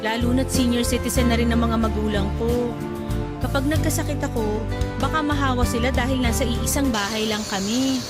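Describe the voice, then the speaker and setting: Actress playing troubled Filipino woman for radio drama: high voice, hesitant and subdued, loud music underneath. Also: steady tone, fairly quick, lightly acted. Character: troubled, hesitant woman confiding a secret love.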